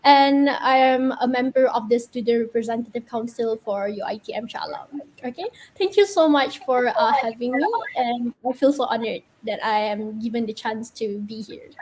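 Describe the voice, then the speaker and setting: A woman's voice: a little high, normal speed, hardly any pauses, a little mellow, rough recording. A young woman from Malaysia, a law student panelist, introducing herself casually with fillers over a video call. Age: young adult.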